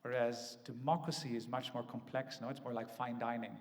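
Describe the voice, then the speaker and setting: A man's voice: medium pitch, little pitch movement, a little fast. A man on a conference panel, speaking thoughtfully with many "ums" and tag questions like "right?" and "no?". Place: large conference hall. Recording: echoey conference hall.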